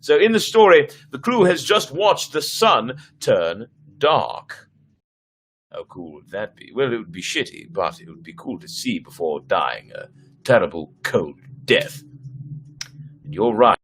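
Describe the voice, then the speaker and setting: A man's voice: mid-range voice, sing-song, measured pace, fairly bright tone, husky. A man chatting casually as host of a live story reading, playful and theatrical in his delivery, poking fun at his own writing. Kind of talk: casual livestream chat.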